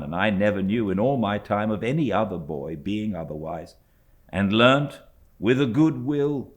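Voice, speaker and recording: A man with a British accent reading a classic novel as an audiobook narrator, in a measured, expressive storytelling manner. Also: fairly low pitch. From Britain. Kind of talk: literary scripted narration.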